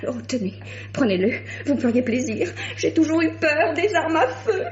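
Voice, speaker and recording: A woman's voice: high-pitched, sing-song, moderate pace, a little mellow, slight background noise. An actress playing a flighty, nervous landlady in a theatrical, exclamatory old radio mystery style. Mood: fluttery, evasive. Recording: muffled vintage radio recording.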